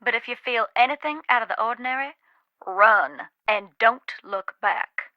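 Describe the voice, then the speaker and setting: A woman's voice: a little high, normal speed, no echo, lo-fi sound, lightly acted. An actress playing a wry, haunted Southern woman who knows about ghosts, with a folksy, dramatic, teasing manner in a video game. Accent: American South.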